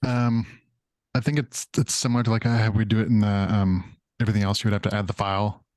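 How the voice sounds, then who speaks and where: A man on a work video call, answering hesitantly with many pauses and hedges. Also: lowish voice, level tone, a little mellow, no room sound. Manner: hesitant, hedging. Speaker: colleague answering a question.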